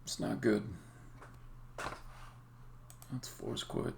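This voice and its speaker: A man doing casual live-coding commentary, thinking aloud in a halting mutter as a game developer on a stream. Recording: faint noise, keyboard typing and clicks. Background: computer keyboard typing and clicking.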